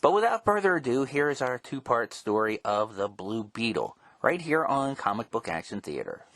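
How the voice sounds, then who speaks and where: A man's voice: mid-range voice, sing-song, normal speed, fairly bright tone, dry recording. A man hosting a show with a casual, chatty introduction. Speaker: show host.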